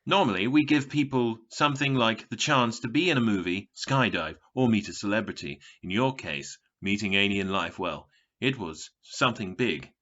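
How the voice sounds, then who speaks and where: An actor playing a smug, taunting television game show announcer with glib, theatrical, condescending patter in an audio drama. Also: male voice, on the low side, moderate pace, fairly bright tone, lo-fi sound. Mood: slick, teasing.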